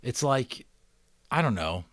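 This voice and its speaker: A middle-aged man who reads and hosts an audiobook in an expressive, earnest way, with casual commentary. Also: medium pitch, slow pace, no room sound, studio quality. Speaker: audiobook reader and host. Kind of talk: dramatic book narration with casual commentary.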